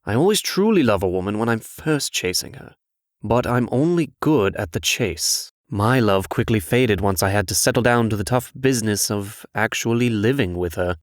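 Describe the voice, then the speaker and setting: A man's voice: on the low side, animated, moderate pace, dry recording, clean studio sound, natural voice. A man playing a jaded, worldly womanizing fairy-tale prince with a frank manner, in a comic dub. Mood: rueful, resigned.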